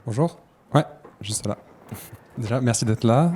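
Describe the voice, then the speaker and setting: A man's voice: mid-range voice, lively intonation, normal speed, a little mellow, breathy edge. A man in the audience puts a spontaneous question to the guest. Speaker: audience member asking a question.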